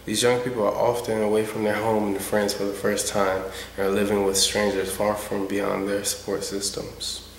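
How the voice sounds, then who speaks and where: A male narrator delivers scripted educational material. His intonation stays flat and even throughout. The recording is echoey, with faint background noise. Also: on the low side, moderate pace, vocal fry, few pauses.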